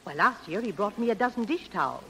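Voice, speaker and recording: Cheery, lilting housewife. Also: female voice, mid-range voice, animated, fast talker, fairly bright tone, poor audio. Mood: cheerful. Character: cheery, helpful housewife praising her soap. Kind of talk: 1930s radio commercial acting. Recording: old radio recording, faint noise.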